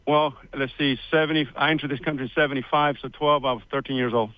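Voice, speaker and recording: A man's voice: mid-range voice, steady tone, fast, no room sound, lo-fi sound. A man in his sixties, born in Cuba, telling his family story as a radio guest in a rambling, chatty way, with many fillers. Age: 60s. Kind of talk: casual interview storytelling.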